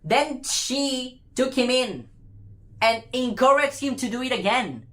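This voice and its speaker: A woman's voice: high-pitched, expressive, slow pace, a bit bright. A woman delivering an impassioned opinion rant in an emphatic, exasperated way, repeating phrases for effect and swearing casually. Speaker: fan commentator.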